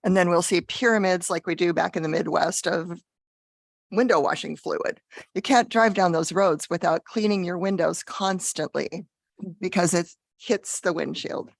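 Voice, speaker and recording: A woman on a budget committee with a hoarse voice, chatty and blunt as she asks questions at a public meeting. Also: lowish voice, moderate pace, no room sound.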